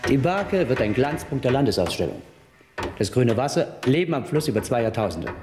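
A man's voice: medium pitch, big pitch swings, fairly quick, over music, lightly acted. An actor playing an enthusiastic, self-assured museum exhibition maker in a German radio drama, talking like a grand salesman. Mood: proud, enthusiastic.

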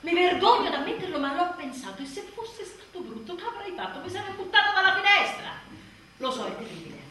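A volatile, high-voiced exhausted young mother. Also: sing-song, fairly quick, sharp tone, heavily acted. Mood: bitter agitation. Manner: volatile, sardonic, swearing, swinging from outbursts to murmurs. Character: exhausted, embittered young mother confessing, sarcastic and desperate. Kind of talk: theatrical radio monologue. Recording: roomy echoing stage, distant mic, faint noise.

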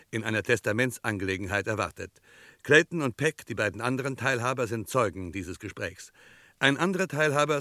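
A man's voice: medium pitch, sharp tone, no room sound, clean studio sound. A man narrating a formal, scripted recap in the manner of 1960s German radio drama. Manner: formal, precise. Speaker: radio drama director and announcer.

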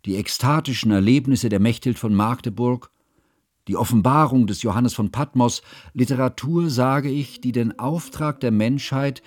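An actor playing a learned, earnest humanist chronicler, narrating a literary radio play in formal, deliberate phrases. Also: male voice, mid-range voice, a little mellow, dry recording, natural voice. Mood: grave, pondering.

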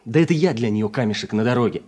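A man's voice: mid-range voice, expressive, quick pace, mellow, slightly rough. A man acting in a Soviet radio drama as a cocky, teasing combine driver in his thirties, boastful and folksy. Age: 30s. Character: cocky joking thirty-year-old village combine driver with guitar.

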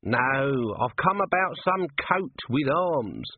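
A man's voice: medium pitch, expressive, takes it slowly, dry recording, phone-quality sound, pitched above the performer's own voice. A man voicing a scruffy, hapless corporal with a miserable manner in a storybook reading.

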